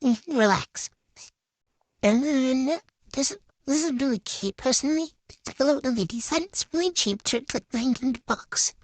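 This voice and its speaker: A woman's voice: sits high, expressive, moderate pace, dry recording. A woman giving a casual, chatty show-and-tell voice-over in a hesitant, unscripted way that tends to trail off. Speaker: vlogger.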